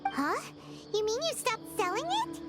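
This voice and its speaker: A little fairy companion from a video game. The voice is tiny, squeaky and extremely high. It swings up and down with blunt, excitable exclamations over prominent background music. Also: female voice, measured pace, full character voice. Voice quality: squeaky, childlike. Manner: exclamatory, reactive. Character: tiny childlike floating fairy companion, excitable, blunt, speaks in third person. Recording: music behind the voice.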